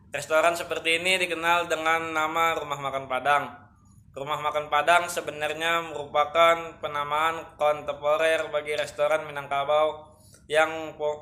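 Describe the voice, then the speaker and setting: Bright-voiced young man reading in a flat tone. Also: fairly high pitch. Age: late teens, young adult. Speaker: high school student. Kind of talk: reading a school report aloud.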